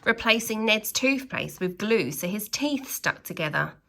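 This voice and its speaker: A woman reads a storybook to young children in a bright voice with a creaky edge. Her pace is slow and deliberate. She performs it expressively, stressing dramatic words and turning to her listeners as a teacher would. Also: mid-range voice. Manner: expressive, with dramatic emphasis and exclamations; pauses to address young listeners with questions. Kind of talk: animated storytelling read-aloud for young children.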